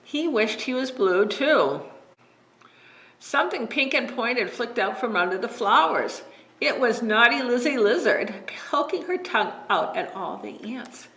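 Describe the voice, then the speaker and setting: Gentle woman reading a bedtime story. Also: fairly high pitch, lively intonation, a little slow. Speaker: children's librarian reading stories. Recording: echoey room.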